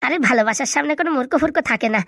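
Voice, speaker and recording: A man voicing an excitable, cheeky young male cartoon buddy, with slangy bantering delivery and a pitched-up effect. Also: very fast, gravelly, no room sound, studio quality, exaggerated voice. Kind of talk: comedy cartoon dubbing in colloquial rural dialect.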